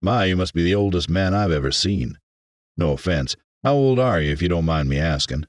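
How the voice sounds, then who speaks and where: A man voicing a cheeky, folksy young man with a booming, jovial manner in an audiobook. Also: animated, fairly quick, no echo, clean studio sound. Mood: jovial, curious.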